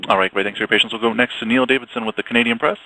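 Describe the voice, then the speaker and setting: A man's voice: medium pitch, fairly even intonation, rapid-fire, pushed and bright. A man acting as conference call operator, giving polite, formal, practiced announcements over a telephone line.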